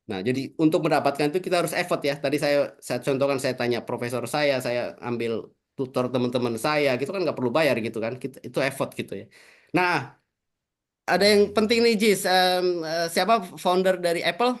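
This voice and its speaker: A man's voice: fairly high pitch, fairly quick. Male guest speaker, casual webinar talk, tag-heavy chatter, video call audio. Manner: chatty, many fillers like gitu ya. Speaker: invited speaker.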